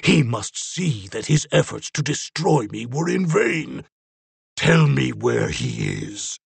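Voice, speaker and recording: A man's voice: medium pitch, sing-song, slow, gravelly, slightly rough, no room sound, heavily acted. A man voicing a menacing, faceless monster who declaims in a grandiose, ominous manner. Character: menacing faceless shelled monster, reshaper of time and space.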